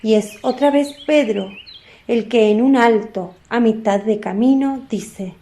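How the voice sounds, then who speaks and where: A woman narrates a religious radio drama from a script. Her voice sits somewhat high yet stays soft and mellow, composed and explanatory. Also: unhurried.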